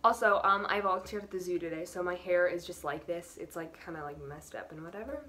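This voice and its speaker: A woman's voice: lowish voice, fast talker, a bit bright. A teenage girl chatting casually to camera about books, rambling with lots of fillers and little laughs. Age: teens. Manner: rambling, filler-heavy, giggly. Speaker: book vlogger.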